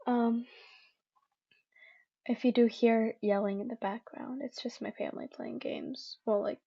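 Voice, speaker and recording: A young woman with a fairly high voice reads fan fiction aloud in a loose, chatty way, stumbling and correcting herself often. The recording is dull and lo-fi, made at home. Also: steady tone, a little slow, gravelly, warm tone. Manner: chatty, with many fillers and self-corrections. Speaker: podfic reader. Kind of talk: casual reading of fan fiction.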